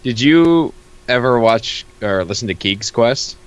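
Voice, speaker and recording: Male co-host, medium pitch, very animated delivery; casual, sweary joking. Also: a little slow, clear, resonant tone, highs cut off. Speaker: co-host of a comic reading. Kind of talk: casual chat and comic riffing.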